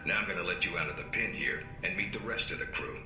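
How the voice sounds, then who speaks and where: A middle-aged intelligence handler in a game cutscene. His voice is very deep and authoritative, with dry wit. It sits in a roomy space with music playing behind. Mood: measured, wry. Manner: measured, authoritative, wry. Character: stern, dry-witted middle-aged male spy agency handler. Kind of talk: video game cutscene acting.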